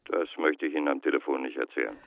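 A man's voice: rumbling low, steady tone, fast talker, vocal fry. A man playing a wary, evasive night-time phone contact in a radio crime drama, guarded and terse, heard through a telephone. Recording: dull telephone line.

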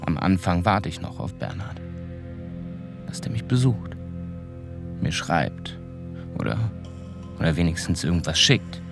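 Deep, gravelly, disillusioned ex-con mechanic. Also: male voice, lively intonation, over music, full character voice. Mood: bitter, resigned. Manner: colloquial, halting, ruminative. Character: disillusioned, slangy small-town car mechanic and ex-convict narrator. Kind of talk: first-person radio drama monologue.

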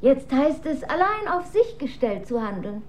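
An actress playing an imperious, manipulative dowager empress in a German radio drama, speaking in a formal, stately and measured manner. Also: female voice, high voice, big pitch swings. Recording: dull recording with faint noise.

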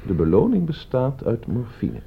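A man's voice: medium pitch, wildly swooping pitch, moderate pace, gravelly, husky, full character voice. An actor playing a cool, calculating man in a radio play, speaking with composed, provocative deliberation. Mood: cold, matter-of-fact. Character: cool, calculating man staging a deadly experiment. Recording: dull, muffled old radio play recording.

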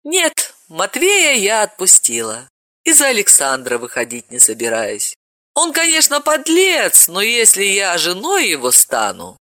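A woman's voice: medium pitch, sing-song, a little slow, pushed and bright, no room sound. A woman voicing a wry self-assured woman. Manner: wry, jaunty.

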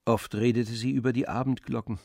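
A man playing the composed, observant narrator of a literary radio drama, with measured delivery. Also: mid-range voice, fairly even intonation, creaky, darkish tone, dry recording.

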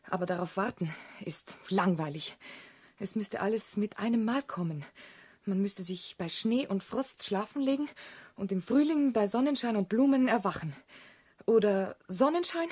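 A woman's voice: medium pitch, a little mellow, breathy edge. A woman acting a bold, impatient young noblewoman, romantic and mocking, in a German radio drama.

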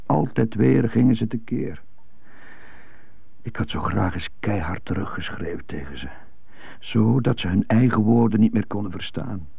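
An actor playing a bitter, tormented man who stutters, brooding in a halting radio drama monologue. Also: on the low side, full character voice. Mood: resentful. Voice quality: stuttering, husky. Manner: brooding, halting, resentful muttering. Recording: muffled, roomy, narrow-band old radio recording.

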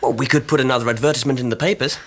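An actor playing a practical, eager young English husband in a radio drama, with a husky voice and a brisk manner. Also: male voice, mid-range voice, fairly even intonation, fairly bright tone, natural voice.

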